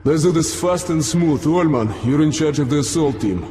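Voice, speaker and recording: An actor playing a male squad leader who gives brisk, commanding orders in a game cutscene. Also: a little high, lightly acted. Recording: echoey reverberant game audio with loud music.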